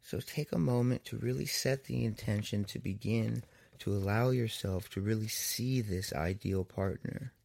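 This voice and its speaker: A man leading a guided meditation as a therapist, in a soothing manner with long pauses between phrases. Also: lowish voice, vocal fry, darkish tone.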